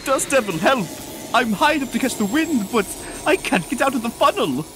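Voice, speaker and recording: A man's voice: very high voice, very animated, normal speed, music in the background. A man voicing a huge, haughty but insecure bird in a comic dub, with formal, pompous speech. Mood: anxious. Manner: pompous, formal, turning hesitant. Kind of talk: comic dub cartoon acting.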